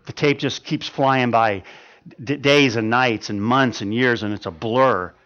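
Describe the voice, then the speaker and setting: A man in his fifties preaching a sermon, swinging from loud emphatic stretches to quiet ones, with frequent stammered restarts. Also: mid-range voice, unhurried, highs cut off. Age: 50s. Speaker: pastor.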